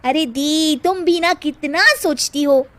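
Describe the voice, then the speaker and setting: A woman voicing a mischievous, excitable young prankster sister in a cartoon moral story. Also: sits high, takes it slowly, voice pushed up. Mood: teasing. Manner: excitable, squealing, teasing.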